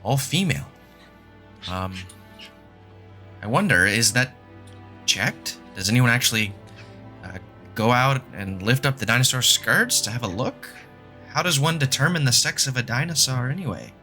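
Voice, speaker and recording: A man's voice: medium pitch, animated, normal speed, long pauses, sharp tone. A man playing a sardonic, skeptical scientist who questions in a wry, hesitant way in a fan audio drama. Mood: wry, doubting. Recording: music under the voice.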